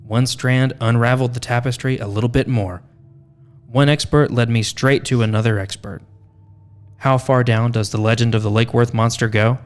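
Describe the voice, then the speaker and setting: A man's voice: medium pitch, fairly even intonation, moderate pace. A man hosting a podcast, reading a scripted narration with wry, tongue-in-cheek asides. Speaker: podcast host.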